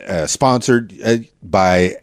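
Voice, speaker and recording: A man's voice: low-pitched, slow, no room sound, clean studio sound. A man hosting a casual radio interview, asking questions in an informal, affirming, folksy way. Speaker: radio podcast host, interviewer.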